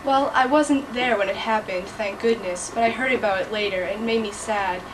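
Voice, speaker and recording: Teenage girl, school sketch, deadpan, distant mic, faint noise. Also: mid-range voice, normal speed. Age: teens. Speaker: student actress. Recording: distant mic, noisy room.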